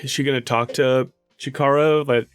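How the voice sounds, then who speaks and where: A man playing a tabletop roleplaying game, talking casually and haltingly as he thinks aloud. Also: mid-range voice, fairly even intonation, smooth, dry recording, clean studio sound, soft background music.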